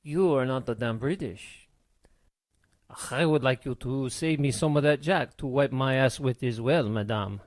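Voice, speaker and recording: A man's voice: medium pitch, measured pace, no echo, close to an everyday voice. A man voicing a grateful, deferential French sailor in an audiobook, with a French accent and polite, pleading manner. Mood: relieved. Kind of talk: audiobook character voice.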